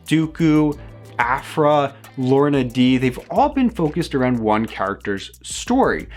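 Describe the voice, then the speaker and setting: A man's voice: medium pitch, sing-song, measured pace, soft background music. A man hosting a review video, reading scripted commentary as a content creator.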